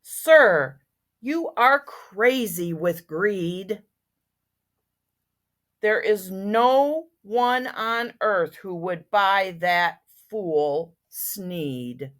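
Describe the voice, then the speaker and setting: A woman voicing a short, old, male forest creature from a storybook, sharp, bossy and indignant as he scolds. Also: medium pitch, expressive, very slow, pitched above the performer's own voice. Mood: outraged.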